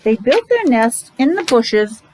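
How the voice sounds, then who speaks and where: A woman's voice: a little high, very animated, measured pace, a little mellow, slight background noise, poor audio. A woman teacher reading a picture book aloud to young children, explaining it simply in short, repetitive asides. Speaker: preschool or special-needs teacher.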